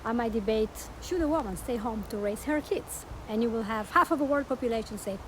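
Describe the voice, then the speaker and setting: A middle-aged woman with an Italian accent speaks earnestly to her audience, her voice sitting a little high and lifting often for emphasis. The recording carries a clear hiss of background noise. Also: fairly quick, low-quality recording. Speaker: podcast host and life coach. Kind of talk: promotional monologue.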